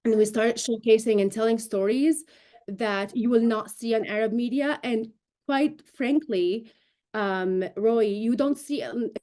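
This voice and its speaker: A woman's voice: a little high, darkish tone. A woman in her thirties from Syria, a native Arabic speaker, explaining things emphatically and articulately as an interview guest on a video call. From Syria, raised in Damascus. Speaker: interview guest, broadcast journalist and show host. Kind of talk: remote news interview, explanatory talk. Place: indoor room, video call.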